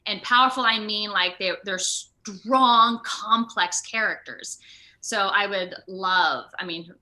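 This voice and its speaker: Bright, forceful American woman chatting. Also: mid-range voice, takes it slowly. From Ohio, United States. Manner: animated, rambling, self-deprecating. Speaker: actor being interviewed. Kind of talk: casual interview chat. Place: remote video call.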